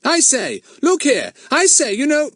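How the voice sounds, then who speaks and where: A man's voice: extremely high pitch, huge pitch swings, fairly bright tone, dry recording, clean studio sound, voice pushed up, full character voice. A man reading a storybook voicing a bold, cheerful schoolboy who leads his friends. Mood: protesting. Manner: brisk, cheerful, polite to adults.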